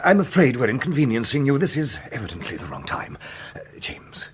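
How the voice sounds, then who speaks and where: An actor in a radio play as a sensible, sceptical English gentleman, a polite but exasperated companion. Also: mid-range voice, huge pitch swings, a little fast. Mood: reasonable, embarrassed. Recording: noisy old radio play recording.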